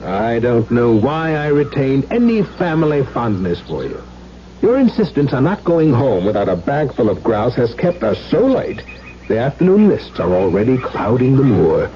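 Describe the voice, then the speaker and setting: A man's voice: mid-range voice, close to an everyday voice. A man acting an urbane, skeptical baron in a radio drama, with a formal, teasing, arch manner. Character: urbane, wry baron, skeptical gentleman cousin. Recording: muffled 1970s radio broadcast with noise.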